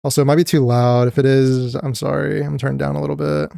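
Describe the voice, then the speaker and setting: A man streaming a live reaction to a game trailer, excited and chatty with many restarts. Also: mid-range voice, brisk, creaky, over music.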